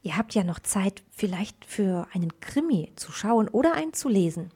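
A woman's voice: mid-range voice, very animated, moderate pace, mellow, breathy edge. A woman presenting a children's radio show in a scripted style.

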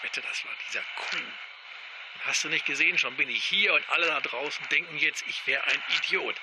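A man acts an indignant, bumbling secret agent in a comic audio drama. His slightly high voice grumbles and rambles defensively through a very noisy, radio-like message recording. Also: normal speed, close to an everyday voice. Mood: grumbling. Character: indignant, bumbling male agent stranded among crowds. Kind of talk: audio drama monologue as answering machine message.

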